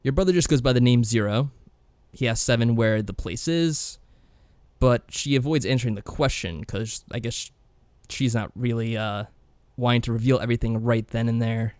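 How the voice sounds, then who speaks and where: A man co-hosting a podcast, discussing games casually in a measured, mild-mannered way. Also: medium pitch, a little mellow, smooth, no room sound. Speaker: podcast co-host.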